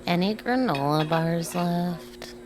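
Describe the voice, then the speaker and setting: A woman's voice: on the low side, slow pace. A woman playing a chatty, nosy office coworker, casual and confiding, in a naturalistic play. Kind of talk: naturalistic stage acting in a livestreamed play.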